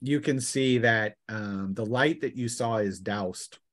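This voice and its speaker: Man narrating as a halting game master. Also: lowish voice, a bit bright. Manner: halting, drawn-out scene narration. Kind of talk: narrating a tabletop roleplaying session.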